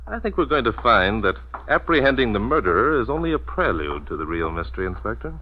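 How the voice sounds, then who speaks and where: An actor playing a shrewd, composed detective in a 1940s-style radio mystery, speaking in a measured, formal, assured way. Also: male voice, fairly low pitch, big pitch swings, slight background noise. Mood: assured, knowing. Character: shrewd, composed amateur detective leading a murder inquiry. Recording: muffled vintage radio drama recording.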